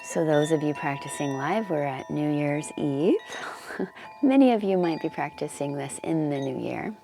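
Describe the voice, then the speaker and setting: Low-voiced woman guiding a meditation. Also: lively intonation, over music. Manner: unhurried, informal, pausing between phrases. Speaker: meditation guide. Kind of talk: guided meditation, gentle instruction. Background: wind chimes and ambient noise.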